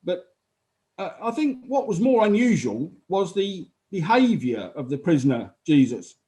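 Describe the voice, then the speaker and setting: A man's voice: high-pitched, sing-song, measured pace, warm tone. A man acting as a matter-of-fact Roman army officer who gives unemotional, explanatory testimony in a dramatized inquiry. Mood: explanatory, detached.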